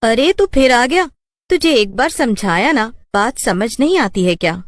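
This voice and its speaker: A woman voicing a proud, sharp-tongued young village cook who scolds indignantly, in a Hindi cartoon. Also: a little high, lively intonation, measured pace, no echo, voice pushed up. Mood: annoyed.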